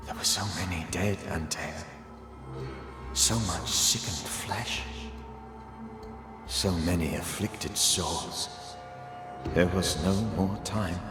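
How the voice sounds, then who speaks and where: A sad grave ghost mentor in a video game, real slow n solemn, old-timey formal, echoey. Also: male voice, deep voice. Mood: regretful, solemn. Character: grave, sorrowful male ghost of a banisher mentor. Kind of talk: dramatic video game cutscene acting. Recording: reverberant ghostly echo, music behind.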